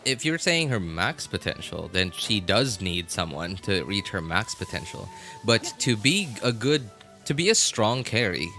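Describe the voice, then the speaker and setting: Male streamer, lowish pitch, crisp bright tone, casual gaming chat, halting rephrasing, music in the background. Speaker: live streamer. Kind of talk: casual game stream chat, answering viewers.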